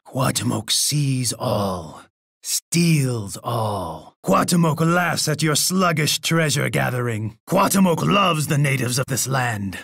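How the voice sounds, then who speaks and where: An actor playing a menacing, boastful, bloodthirsty Aztec emperor in a video game, with a gravelly, husky voice and an imperious, taunting manner. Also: male voice, mid-range voice, fairly bright tone, no room sound, clean studio sound, heavily acted. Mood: scornful gloating. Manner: imperious, taunting, refers to himself in third person. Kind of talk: theatrical video game character voice lines.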